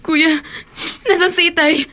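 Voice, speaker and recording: An actress playing a remorseful younger sister in a Tagalog radio drama, pleading tearfully. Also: female voice, extremely high pitch, little pitch movement, pushed and bright, full character voice. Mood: anxious, tearful. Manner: sobbing, drawn-out pleading.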